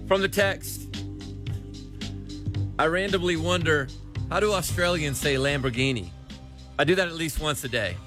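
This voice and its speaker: A man hosting a radio show with casual, informal, animated banter. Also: fairly high pitch, normal speed, long pauses, music in the background.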